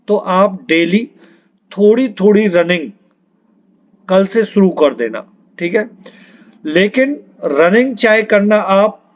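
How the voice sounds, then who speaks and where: A man coaching students in an informal, instructive way, repeating key points and often adding short check-in tags. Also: high voice. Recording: dull lo-fi audio.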